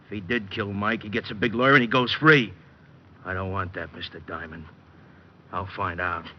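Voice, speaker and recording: A man's voice: deep voice, fairly even intonation, normal speed, noisy background, lightly acted. An actor playing a big, simple, loyal tough guy bent on revenge, speaking plainly and slangily in an old-time radio drama. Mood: stubborn. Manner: plain, blunt, slangy speech. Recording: muffled old radio recording with music.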